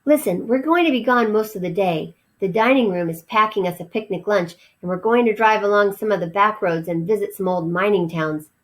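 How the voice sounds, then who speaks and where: A woman's voice: mid-range voice, brisk, hardly any pauses, close to an everyday voice. A woman voicing a friendly young boy in a storybook, speaking in a casual manner.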